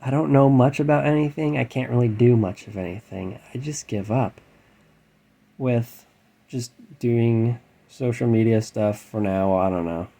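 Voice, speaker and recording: A man in his thirties with a light, androgynous voice, ranting at home at night in a rambling, self-deprecating way. He mostly keeps his voice down, then flares up loudly before trailing off again. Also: medium pitch, fairly even intonation, unhurried, vocal fry. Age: 30s. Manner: keeps his voice down, with loud bursts. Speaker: musician and vlogger. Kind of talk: rambling late-night rant to camera.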